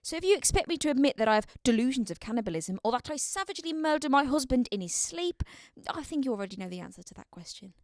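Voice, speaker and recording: A woman's voice: sits high, very animated, fast, few pauses, no room sound, clean studio sound. A British woman playing a bitter, challenging psychiatric inmate in her twenties in a radio drama, with a sardonic manner. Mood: angry, defiant.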